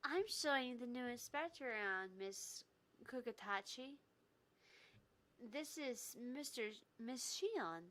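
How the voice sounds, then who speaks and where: A woman's voice: high voice, animated, slow pace, fairly bright tone, full character voice. Woman reading as a friendly young inspector. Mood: friendly, chirpy. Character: friendly, upbeat young female inspector showing newcomer around.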